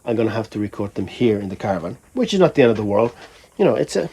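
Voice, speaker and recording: Male vlogger from Finland, lowish voice, unscripted and conversational, wandering through his thoughts as he speaks. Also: sing-song, rapid-fire, dark tone, husky. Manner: rambling, conversational, thinking aloud. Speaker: musician vlogger. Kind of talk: casual vlog talk.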